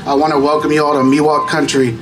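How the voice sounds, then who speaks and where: A man's voice: a little high, flat delivery, quick pace. A man giving a formal introduction as a guest speaker on an outdoor stage microphone. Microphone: stage microphone through PA speakers.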